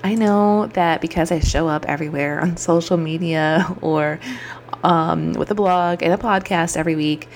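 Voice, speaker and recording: A woman's voice: low voice, moderate pace. A woman in her thirties hosting her own podcast, talking in an upbeat, chatty way, her throat a little scratchy and froggy. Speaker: podcast host. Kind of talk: solo podcast monologue.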